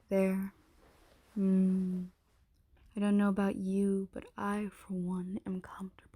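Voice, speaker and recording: Girl acting a cruel possessive dragon queen, asmr roleplay, slow n mellow, bossy, drops into whispers. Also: medium pitch, no echo, studio quality. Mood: haughty, possessive. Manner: slow commanding delivery, slipping into breathy whispers. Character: menacing possessive dragon shifter queen taunting her prisoner.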